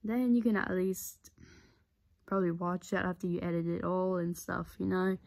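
A young woman with a low voice, vlogging to camera in a casual, rambling way that repeats itself, recorded close on a device in her bedroom. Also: flat delivery, moderate pace, creaky, darkish tone. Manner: rambling, repeats herself, earnest. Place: bedroom, indoors. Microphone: device camera at close range.

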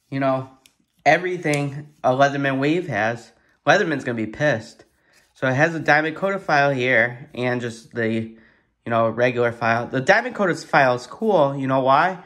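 A man giving a casual, excited hands-on product review at his kitchen table, thinking aloud and swearing in surprise. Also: medium pitch. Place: kitchen table at home, indoors.

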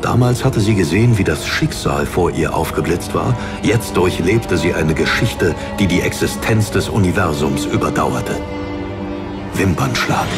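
A male narrator with a low voice reads dramatic fantasy fiction. His phrasing is solemn and deliberate. Very loud music runs behind him. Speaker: audiobook narrator.

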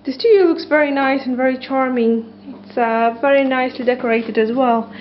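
A woman in her thirties, walking a camera through a small loft flat and describing it with frequent ums and hesitations. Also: high voice, moderate pace, lo-fi sound, a little hiss or hum. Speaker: property tour presenter. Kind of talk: walkthrough tour narration. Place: small loft studio apartment, indoors. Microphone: camera mic, handheld camera.

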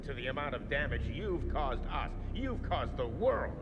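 An actor playing a pompous, scornful magnate of a secret society in a video game. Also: male voice, medium pitch, sing-song, normal speed, very noisy. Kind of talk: dramatic video game voice acting. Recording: dull muffled sound under loud music.

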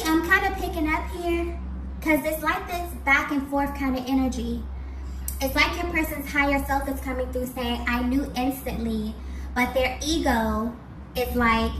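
A woman with a fairly high voice delivers an intuitive tarot reading directly to the listener. She is earnest and breaks her phrases up with small check-ins. The recording is echoey, with clear background noise. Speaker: tarot reader. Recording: roomy, distant mic, clear background noise.

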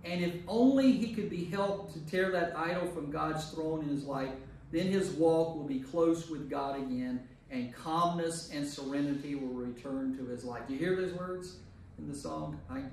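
A man with a fairly high voice leads a Bible study as a priest, speaking to a group. He is emphatic and often repeats a phrase to drive it home. The room is very echoey, and the microphone sits some way off with faint noise. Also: moderate pace, darkish tone, recorded from a distance.

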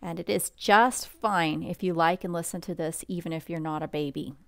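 A woman reading a bedtime picture book aloud in a gentle, expressive, unhurried storybook manner. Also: fairly low pitch, vocal fry, no echo, studio quality.

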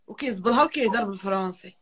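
A woman's voice: mid-range voice, racing, a crowd in the background. A Moroccan woman in her twenties chatting playfully as a guest on a live phone stream. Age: 20s. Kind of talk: casual chat. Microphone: phone at arm's length.

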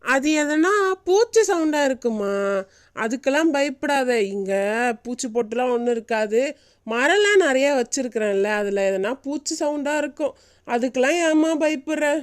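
A woman voicing a folksy, fussing elderly village grandmother in an animated story. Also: high-pitched, normal speed. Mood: chatty, reassuring. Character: concerned elderly village grandmother, easily alarmed.

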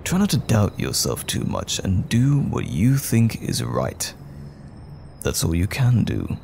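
A man acting as a tender, worried boyfriend in an intimate audio roleplay, speaking gently and soothingly to comfort a troubled partner at night. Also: lowish voice, huge pitch swings, takes it slowly, vocal fry, a little hiss or hum, exaggerated voice. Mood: reassuring. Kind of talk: intimate roleplay audio drama, soft bedside talk.

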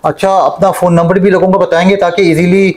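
A man's voice: sits high, flat delivery, fairly quick. A middle-aged man with a Pakistani accent, hosting a vlog and interviewing informally on camera. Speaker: vlog host. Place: indoor room with display table.

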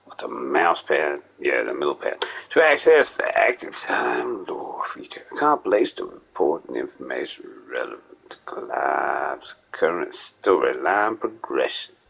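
A man's voice: extremely low pitch, big pitch swings, unhurried, vocal fry, a bit bright, breathy edge. A man streaming a game with casual commentary, musing aloud and breaking into playful theatrical outbursts. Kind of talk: casual live gameplay commentary.

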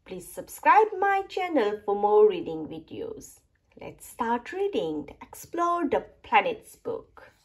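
A woman reading a picture book aloud to young children in an expressive, deliberate storytelling manner. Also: medium pitch, breathy edge. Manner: animated, slow, deliberate storytelling delivery. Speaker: children's book reader and channel host.

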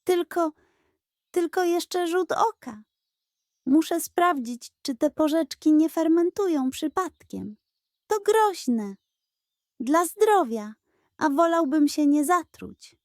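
A woman's voice: very high voice, animated, slow pace, darkish tone, dry recording, studio quality, voice pushed up, full character voice. A woman voicing a gluttonous, theatrical pug dog who pleads and sweet-talks in a children's audio tale. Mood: nervous excuse-making. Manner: pleading, dramatic, sweet-talking.

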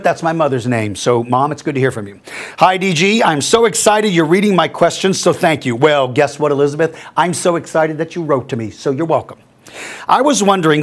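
A man in his fifties with a gruff, slightly rough voice, answering viewer questions about dinosaurs to camera in a small studio, friendly and expressive. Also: a little high. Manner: friendly, expressive, gestures while talking. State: gruff, rough voice after speaking at a school. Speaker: dinosaur educator and host. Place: small studio with mottled backdrop.

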